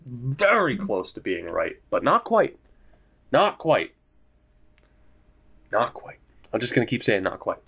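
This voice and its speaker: A man's voice: medium pitch, huge pitch swings, takes it slowly, vocal fry, long pauses, fairly bright tone, husky. A young man giving casual, rambling commentary over a hockey video game, swearing often and joking at his own expense. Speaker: gaming YouTuber.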